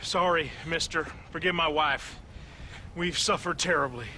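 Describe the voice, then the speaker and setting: A bright, rather high voice, a worn-down husband who apologizes for his wife and stammers. Faint ambience under it. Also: a little slow, slight background noise. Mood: weary. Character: meek henpecked husband, weary and stammering. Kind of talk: video game cutscene acting.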